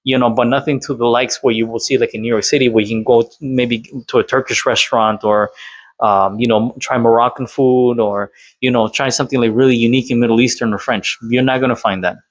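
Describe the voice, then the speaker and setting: A man's voice: medium pitch, steady tone, brisk, no echo, rough recording. Rambling Colombian-born male commentator. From Colombia, Cali. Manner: rambling, many fillers and restarts. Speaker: channel host reacting to a clip. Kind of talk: casual opinionated commentary.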